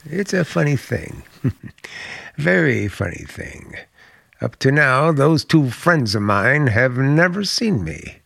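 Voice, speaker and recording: A man acting in a radio play as an evasive, outwardly polite man on the run with secret menace, speaking in a halting, dryly polite way. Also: medium pitch, big pitch swings, vocal fry, a bit bright, breathy edge, no echo, clean studio sound, exaggerated voice. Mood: guarded, wry.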